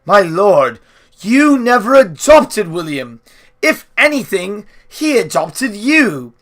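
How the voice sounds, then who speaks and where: A man's voice: sits high, sing-song, slow pace, fairly bright tone, pitched above the performer's own voice, full character voice. A man voicing a careful, deferential manservant in a storybook, speaking respectfully and apologetically to his lord. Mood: apologetic.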